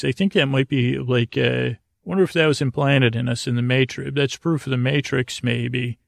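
Creaky-voiced man rambling soothingly. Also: medium pitch, dry recording, clean studio sound. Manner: meandering, halting, stammering, repeating himself; soothing, whimsical, absent-minded. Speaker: podcast host. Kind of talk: rambling bedtime monologue meant to lull listeners to sleep.

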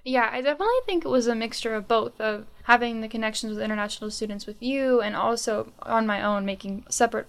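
Brief: young female student from northern virginia, fry-heavy, talkative and giggly. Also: on the high side. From Northern Virginia, United States. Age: young adult. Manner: chatty, laughs often, many fillers. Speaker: student guest. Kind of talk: casual interview chat.

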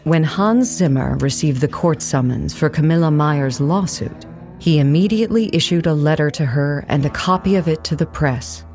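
Low-pitched woman narrator over faint music. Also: normal speed, a little mellow. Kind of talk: scripted documentary narration for an audio play.

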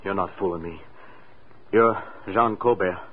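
A man's voice: sits low, unhurried, slightly rough. An American man acting a suspicious, insistent, anxious fellow in a 1940s radio drama, with urgent slangy delivery. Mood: nervous. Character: anxious, suspicious male oil company stooge, blunt and insistent. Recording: muffled, narrow-band old radio recording.

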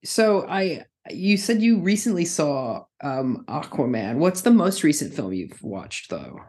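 A woman from North America with a notably low voice hosts a relaxed podcast chat. She jokes drily, riffs on ideas and laughs easily at her guest. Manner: dry, joking, quick to laugh. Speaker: podcast host. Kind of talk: casual chat.

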